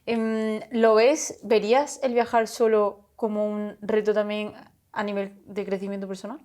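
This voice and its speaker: A woman's voice: medium pitch, level tone, a little mellow. Curious woman asking questions. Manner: curious, encouraging, quick follow-up questions. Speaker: interviewer. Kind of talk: casual interview chat.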